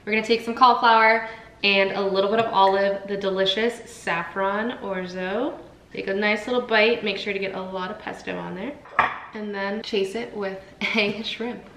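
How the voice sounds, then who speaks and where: A woman's voice: mid-range voice, steady tone, moderate pace, a bit bright, a little hiss or hum. Young woman, cooking show host, friendly and chatty, mid-range voice, recorded on a room mic in a kitchen with a light echo. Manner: chatty, enthusiastic, lots of "like" and "so many". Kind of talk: casual cooking tutorial. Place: home kitchen, indoors.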